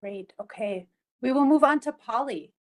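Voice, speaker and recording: Brisk woman facilitating a meeting. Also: sits high, animated. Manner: brisk, procedural. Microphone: video call.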